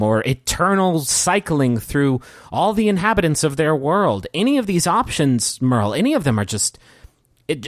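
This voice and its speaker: Guy playing a tired cosmic villain guy in a tabletop game, broody halting speeches about how hopeless it all is, dry close sound. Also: on the high side, dry recording. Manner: philosophical, halting, grandiose monologues.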